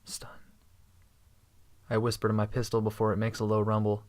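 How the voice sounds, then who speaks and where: Male narrator, first-person sci-fi audio story. Steady, understated, little pitch movement. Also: fast. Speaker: narrator and protagonist.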